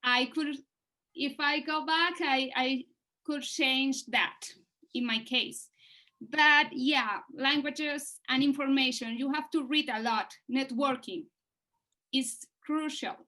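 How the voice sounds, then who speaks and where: Slow, bright-voiced woman panelist. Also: high-pitched, fairly even intonation. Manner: halting, simple phrasing. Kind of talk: panel talk over video call.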